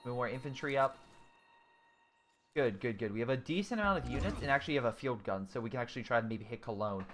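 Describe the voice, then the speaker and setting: A young man in his twenties casually commentating a strategy game he is playing, thinking out loud with many ums and restarts while answering viewers' chat. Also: mid-range voice, animated, fast talker, a little hiss or hum. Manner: thinking aloud, frequent ums and self-corrections. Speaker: gaming YouTuber. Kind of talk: casual let's-play commentary, reading chat.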